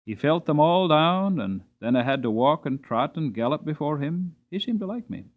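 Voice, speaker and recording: Male audiobook narrator, theatrical and deliberate, very animated intonation. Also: fairly high pitch, narrow, tinny audio. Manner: theatrical, deliberate, emphatic phrasing. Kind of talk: dramatic storybook narration in the first person.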